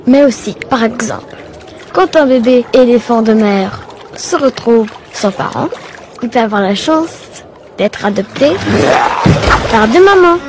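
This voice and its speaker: A woman reading scripted educational narration in a measured, didactic way. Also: a little high, lively intonation, very noisy. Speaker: documentary narrator. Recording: music clearly behind the voice. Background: music.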